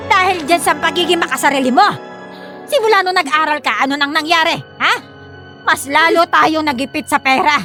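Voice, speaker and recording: A woman's voice: high voice, a little fast, pushed and bright. A woman acting a drunken, bitter middle-aged mother in a Filipino radio drama, scornful and hectoring, with a Filipino accent. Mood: furious, spiteful. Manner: slurring taunts, scornful, hectoring. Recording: music bed under the voice.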